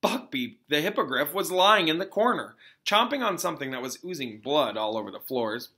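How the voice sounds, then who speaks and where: A man reading a storybook aloud as a teacher, pausing to explain things simply and casually. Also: fairly high pitch, animated, bright, forceful tone. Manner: explains simply to young listeners.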